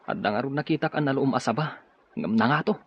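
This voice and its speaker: Actor as practical castaway, medium-pitched male voice, reassuring, radio drama, dull sound, faint island ambience. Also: expressive, fast talker, a little hiss or hum, close to an everyday voice. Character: resourceful, reassuring male castaway tending his companion.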